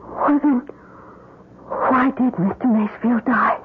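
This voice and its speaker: An actress playing a subdued, guilt-laden wife in an old-time radio drama, on a tinny, noisy recording. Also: female voice, fairly high pitch, slow.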